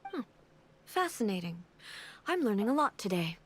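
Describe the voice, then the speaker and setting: A woman's voice: mid-range voice, sing-song, moderate pace, noisy background, close to an everyday voice. An actress playing a dignified female ruler in a video game, earnest, curious and awkward, speaking formally and hesitantly. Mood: pondering, fascinated.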